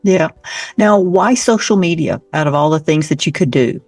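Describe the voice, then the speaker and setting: Podcast host, female, lower register, casual and encouraging with guests, dry close recording. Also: moderate pace, a little mellow, no echo, faint music behind. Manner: upbeat, encouraging, chatty with fillers. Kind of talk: casual interview chat.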